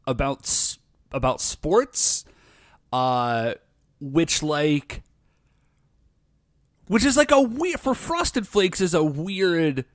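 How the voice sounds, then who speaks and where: A man's voice: on the high side, sing-song, extremely slow, pauses often, no echo, studio quality. A man co-hosting a podcast, chatting casually in a playful, teasing way. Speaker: podcast co-host. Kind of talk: casual chat. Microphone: recording earphones, studio mic.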